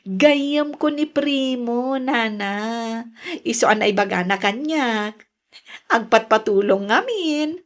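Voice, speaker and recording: A woman acts out a flustered, coquettish older mother from a Filipino village in a radio drama. Her high voice swings widely as she exclaims and stammers in a Filipino accent, stretching words out slowly. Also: animated. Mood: teasing, curious. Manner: exclamatory, stammering, drawn-out delivery. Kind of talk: Ilocano radio drama acting.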